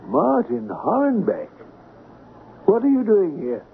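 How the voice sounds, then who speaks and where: An actor in a 1970s radio drama playing a mannered, pompous art appraiser who speaks in balanced phrases with a rising inflection. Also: male voice, high-pitched, very animated, slow, vocal fry, exaggerated voice. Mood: surprised. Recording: muffled, hissy 1970s radio broadcast.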